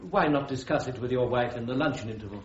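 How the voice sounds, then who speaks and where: An actor playing a brisk, authoritative officer presiding over a military court in a radio drama, speaking formally and crisply. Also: male voice, medium pitch, slight background noise, natural voice. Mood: stern, formal. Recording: roomy, dull radio drama recording.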